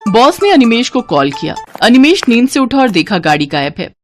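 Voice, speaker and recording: A woman's voice: a little high, very animated, moderate pace, soft background music. A woman narrating a scripted moral story as a storyteller.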